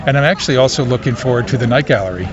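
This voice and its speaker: A man's voice: mid-range voice, expressive, rapid-fire. A man chatting casually as an interviewed festival attendee, in an unscripted, conversational way. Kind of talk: casual chat. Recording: dull sound amid clear crowd chatter.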